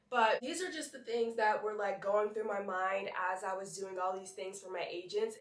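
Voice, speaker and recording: A woman's voice: medium pitch, roomy sound, recorded from a distance. A young woman, congested from a cold and sounding nasal, chatting casually to her vlog camera and filling her talk with "like". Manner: chatty, leans on "like" fillers. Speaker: vlogger and model.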